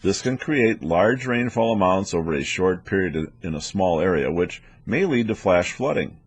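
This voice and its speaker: A man with a low-pitched, slightly rough voice narrates training material. He explains methodically, like an instructor reading from a prepared script. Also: expressive, moderate pace, no room sound. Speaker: weather instructor for air traffic controllers.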